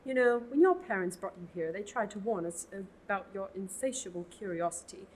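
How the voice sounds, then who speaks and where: A teenage actor playing an exasperated, theatrical abbess eager to be rid of a pesky oblate. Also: female voice, medium pitch, fairly quick, darkish tone, slight background noise, natural voice, low-quality recording. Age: teens. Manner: sighing and theatrical. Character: exasperated, fussy middle-aged abbess nun. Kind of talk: student radio play.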